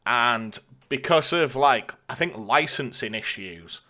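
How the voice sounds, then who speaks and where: Bright-voiced British man, dry podcast host. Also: medium pitch, expressive, slow. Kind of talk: casual podcast chat.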